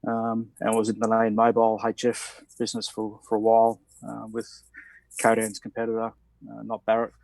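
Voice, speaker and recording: Australian guy on a video call, even flat delivery, rambling and self-deprecating, dry close sound. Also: on the low side, normal speed, gravelly, no room sound, rough recording. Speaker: ham radio net participant.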